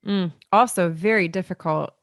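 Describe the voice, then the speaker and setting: Woman host asking questions, low-ish voice, dry close sound, encouraging. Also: moderate pace, no room sound. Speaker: interview host. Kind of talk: conversational interview.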